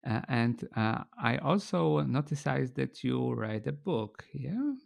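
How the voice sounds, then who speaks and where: A man hosting an interview in halting non-native phrasing, with many pauses and fillers. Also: mid-range voice, lively intonation, gravelly, no echo, clean studio sound. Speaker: interviewer, host. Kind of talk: casual interview talk.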